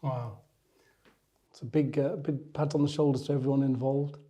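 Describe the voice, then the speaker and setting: Very dark-voiced male interviewer. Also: mid-range voice, steady tone, moderate pace. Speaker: podcast host interviewing a doctor. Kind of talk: measured interview questioning.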